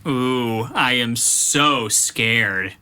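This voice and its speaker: A man's voice: mid-range voice, extremely slow, pushed and bright, clear, resonant tone, exaggerated voice. A man playing a surly, mocking, foul-mouthed teenage cousin in an audio drama, blunt and sneering. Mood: wary, mocking.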